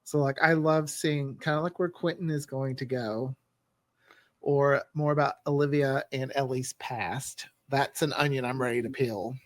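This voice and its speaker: A man's voice: mid-range voice, fairly even intonation, normal speed, no echo. A man who writes for an audio drama, chatting casually in a rambling, talkative way in a group discussion. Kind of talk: casual behind-the-scenes group chat.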